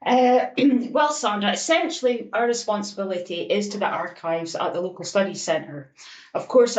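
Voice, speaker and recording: A woman's voice: mid-range voice, echoey room, rough recording. A woman from Aberdeen with a local Scottish accent, a librarian steadily explaining her team's work on a podcast. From Aberdeen, Scotland.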